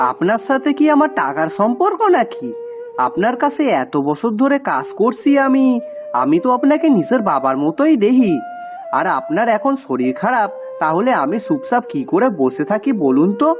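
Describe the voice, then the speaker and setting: Woman voicing an eager, lively young servant lad. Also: mid-range voice, normal speed, music in the background, close to an everyday voice. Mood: eager, caring. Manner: fussing, animated, rustic dialect speech. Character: devoted, chatty young male servant Pocha, rustic and eager. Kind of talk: cartoon voice acting.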